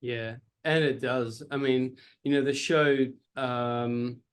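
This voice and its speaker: A man's voice: medium pitch, fairly even intonation, takes it slowly, clear, resonant tone. An Australian man hosting an interview, casual and enthusiastic, full of hype for the show. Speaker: radio host. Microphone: video call.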